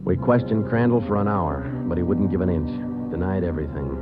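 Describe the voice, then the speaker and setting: An actor playing a terse male police sergeant who narrates the case in a clipped, deadpan way, in 1940s radio acting. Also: low voice, fairly quick. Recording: tinny old radio broadcast with music.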